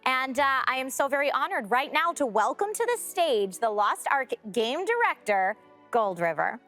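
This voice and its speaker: A woman's voice: sits high, sing-song, moderate pace, fairly bright tone, soft background music. A woman hosting a live broadcast, delivering bubbly, scripted presenter lines. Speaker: event host.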